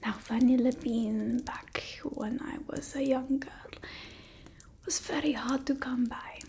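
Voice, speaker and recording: Woman acting a creaky, whispering vampire mistress. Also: high voice, steady tone, slight background noise. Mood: fussy, musing. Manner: slow, hushed, haughty, fussing over the listener. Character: bossy, fussy old-world female vampire mistress. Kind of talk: ASMR roleplay, whispered and soft-spoken.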